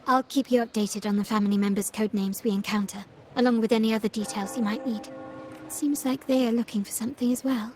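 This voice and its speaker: An actress playing a poised young heiress of a crime family in a video game, speaking formally and precisely. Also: female voice, fairly high pitch, steady tone, fast talker, darkish tone, close to an everyday voice. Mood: composed, businesslike. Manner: composed, formal, articulate. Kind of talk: scripted video game dialogue. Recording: music behind.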